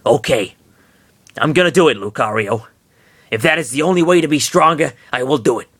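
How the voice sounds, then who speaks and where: A timid little mouse creature speaks in a bright, slightly lifted tone, hesitant and stammering, as a man puts him on. He sounds meek and unsure of himself. The voice is dry and close to the mic. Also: mid-range voice, animated, brisk, no room sound, pitched above the performer's own voice. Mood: determined. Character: timid small male electric-mouse creature. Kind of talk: comic dramatic reading of fan fiction.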